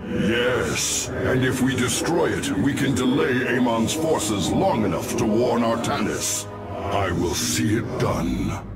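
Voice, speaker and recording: An actor playing a wise old alien warrior prophet in a sci-fi game, solemn, measured and formal. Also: male voice, medium pitch, lightly acted. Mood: grim urgency. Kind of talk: dramatic sci-fi game acting. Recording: loud music behind the voice.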